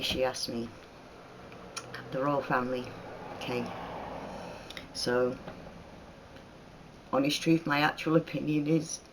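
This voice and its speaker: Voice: medium pitch, slight background noise, rough recording. Rambly chatty northern english-raised host, mixed accent, laughs a lot, lots of ums. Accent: mixed northern and southern English. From northern England. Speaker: channel host answering viewer questions. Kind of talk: casual Q&A chat.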